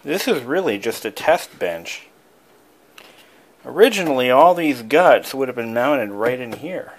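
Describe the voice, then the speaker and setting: A man giving a casual, unscripted show-and-tell as a hobbyist, thinking aloud in a musing, halting way. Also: medium pitch, animated. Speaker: hobbyist showing an old device he is restoring.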